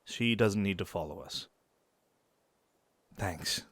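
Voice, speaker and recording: A man's voice: deep voice, takes it slowly, a bit bright, no echo, full character voice. A man acting a playful, bold young werewolf in an audio drama. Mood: serious.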